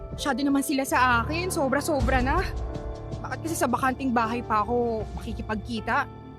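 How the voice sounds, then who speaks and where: A woman's voice: sits high, lightly acted. A young woman with a Filipino accent acting an anxious, indecisive teenage student in a radio drama. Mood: uneasy.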